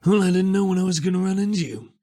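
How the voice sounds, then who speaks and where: A man playing a large, easygoing man in a tabletop game, hesitant and gently teasing as he talks. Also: high voice, rapid-fire, pitched above the performer's own voice. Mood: amused, casual. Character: Isaac, large square-built man, easygoing wry backup. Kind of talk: improvised tabletop roleplay.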